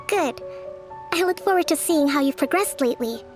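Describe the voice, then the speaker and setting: A composed, high-voiced young priestess leader. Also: female voice, over music. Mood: calm, composed. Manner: measured, formal, calmly authoritative. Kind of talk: scripted video game character acting.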